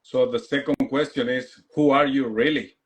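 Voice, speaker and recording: A man's voice: medium pitch, steady tone, moderate pace. A man hosting a live-stream interview, often repeating words and speaking to viewers as he asks questions. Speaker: host.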